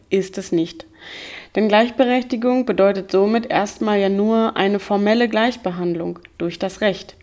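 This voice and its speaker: A woman reading scripted documentary narration, clear and even, with rhetorical questions. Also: medium pitch.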